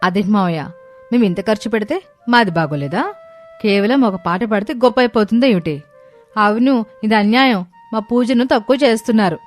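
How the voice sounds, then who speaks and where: A woman plays a proud young rich daughter-in-law in a scripted family story. She speaks emphatically at a middle pitch, over faint music. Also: a little fast, natural voice. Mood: indignant.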